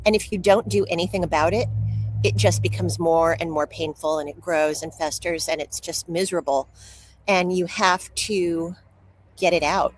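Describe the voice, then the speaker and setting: A woman's voice: fairly low pitch, moderate pace, poor audio. A woman speaking as an interview guest and author, telling personal stories in a candid, open way.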